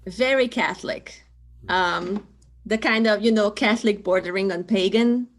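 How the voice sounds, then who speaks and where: A woman's voice: medium pitch, moderate pace. A woman from Hungary, speaking English as a second language with a Hungarian accent, talking thoughtfully as a storyteller guest and laughing often. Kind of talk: conversational interview.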